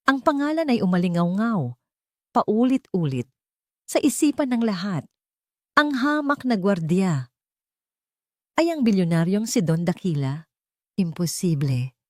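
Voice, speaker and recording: A woman storyteller narrating a scripted drama in a measured, solemn, dramatic way. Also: low voice, darkish tone, dry recording, clean studio sound.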